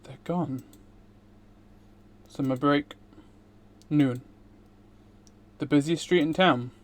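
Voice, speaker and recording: A man's voice: fairly high pitch, takes it slowly. A man streaming a game, reading a visual novel aloud.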